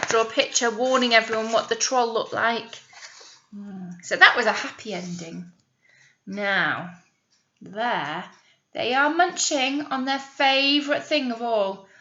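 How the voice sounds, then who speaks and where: A woman's voice: on the high side, unhurried, pushed and bright, slight background noise. A British woman, a teacher, telling a story to young children expressively, with coaxing questions and asides, on a slightly dull recording.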